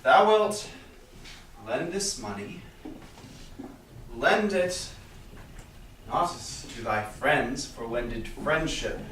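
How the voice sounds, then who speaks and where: A man's voice: on the low side, a bit bright. A man playing a proud, scornful and confident merchant in a staged Shakespeare reading. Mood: scornful, heated. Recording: distant, echoey room with background noise.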